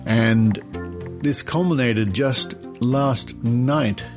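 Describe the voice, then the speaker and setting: An Australian man hosting a podcast, reading news and commentary in measured, deliberate phrases. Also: mid-range voice, music in the background. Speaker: podcast host. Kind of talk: scripted news commentary, reading reports aloud.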